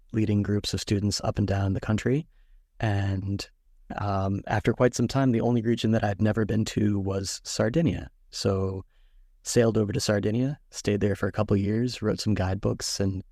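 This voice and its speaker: A man in his thirties, an author guest, answering interview questions in a relaxed storytelling way with frequent ums. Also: fairly low pitch, no room sound, clean studio sound.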